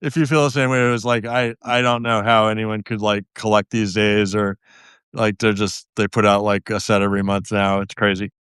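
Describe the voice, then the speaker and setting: A man's voice: lowish voice, little pitch movement, brisk, a bit bright, clear, resonant tone, no echo, clean studio sound. A man guesting on a podcast, chatting casually in a self-deprecating way and laughing often at himself. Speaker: podcast guest, game designer. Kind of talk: casual interview chat.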